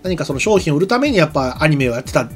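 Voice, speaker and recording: A man's voice: on the high side, big pitch swings, racing, faint music behind. A middle-aged man co-hosting an anime talk show, commenting casually into a desk microphone in a small studio. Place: small indoor studio set.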